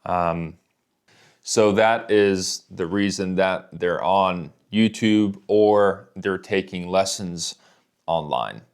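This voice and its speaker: A male English teacher talks at a strikingly unhurried pace, spacing out each phrase for learners. His voice sits fairly low, and he often repeats words and phrases so listeners can catch them. The delivery is calm and patient throughout. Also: clean tone. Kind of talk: slow English listening lesson.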